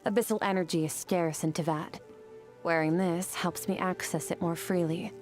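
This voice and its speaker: A woman playing an aloof, stoic young warrior in a game, speaking in a cool, measured, matter-of-fact way. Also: fairly low pitch, steady tone, soft background music, close to an everyday voice. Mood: calm, detached. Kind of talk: game character acting.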